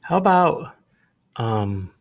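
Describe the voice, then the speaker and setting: A man teaching a lesson, explaining a writing task step by step, with pauses and repeated phrases. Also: medium pitch, big pitch swings, creaky. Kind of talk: instructional lesson, modelling a writing task aloud.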